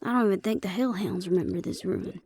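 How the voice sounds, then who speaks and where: A woman's voice: mid-range voice, quick pace, a little mellow, slight background noise, close to an everyday voice. A woman playing a sardonic, impatient and proud female vampire in a fantasy audio roleplay, speaking with sarcasm and disbelief. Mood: dry. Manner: sarcastic, incredulous.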